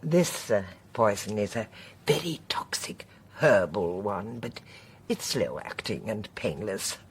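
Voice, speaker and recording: A woman's voice: very deep voice, lively intonation, takes it slowly, slightly rough, exaggerated voice. An actress playing a genteel, haughty older woman in a radio drama, a chatty and unrepentant poisoner with an imperious, emphatic manner. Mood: coolly boastful.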